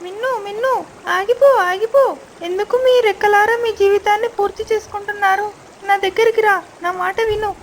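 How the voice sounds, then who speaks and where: A woman's voice: extremely high pitch, fairly even intonation, moderate pace, a little hiss or hum. A woman voicing a kindly magical apple tree in a children's cartoon, with a coaxing manner. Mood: urging. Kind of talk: children's cartoon story voice acting.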